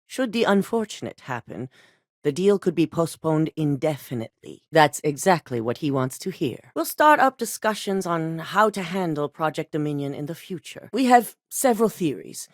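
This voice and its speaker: A cold, calculating corporate executive woman from a video game. Her voice sits low, but she moves it around a lot as she hands down orders in a measured, unhurried way. The recording is dry and close. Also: very animated, dry recording. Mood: cool, authoritative. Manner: composed, measured and coolly authoritative. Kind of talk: game voice acting.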